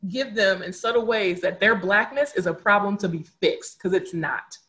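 A woman's voice: mid-range voice, moderate pace, a bit bright. A young adult woman speaking candidly as a podcast guest, earnest and reflective, repeating words for emphasis. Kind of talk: candid conversational storytelling.

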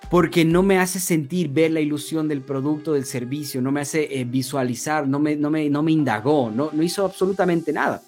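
A man's voice: a little high, moderate pace, hardly any pauses, darkish tone, faint music behind. A man with an Ecuadorian accent giving an informal sales lesson with role-played examples, often checking in with short tag questions. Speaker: sales and marketing trainer.

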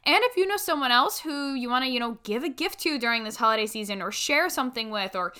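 A woman's voice: fairly high pitch, lively intonation, fast talker, sharp tone, clean tone. A woman hosting a podcast, introducing and interviewing in an upbeat, enthusiastic way. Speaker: podcast host.

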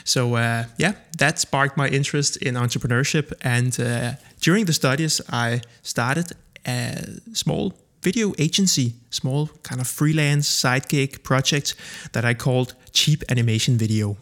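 A man's voice: medium pitch, unhurried. Danish man in his thirties, podcast host. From Denmark. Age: 30s. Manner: relaxed, many filler uhs and restarts. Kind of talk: casual solo podcast monologue.

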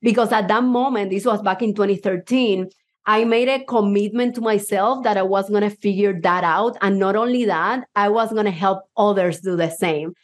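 A woman's voice: medium pitch, moderate pace. A woman from Cuba with a Puerto Rican accent, Spanish her first language, giving an enthusiastic motivational talk to a live audience as a mindset coach. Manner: enthusiastic, personal storytelling.

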